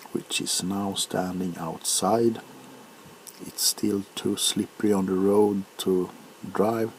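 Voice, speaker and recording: A man in his forties from Sweden chatting in soft-spoken ASMR style, hesitant and unhurried, often drifting into whispers. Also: low voice, rough recording. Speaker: ASMR video host. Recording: faint background noise.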